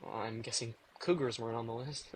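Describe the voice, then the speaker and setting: A man's voice: medium pitch, fairly even intonation, a little fast, slight background noise, lightly acted. A man playing a brooding, guilt-ridden vampire in an audio drama, terse and dryly wry. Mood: bleak, wry. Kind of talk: immersive audio drama acting.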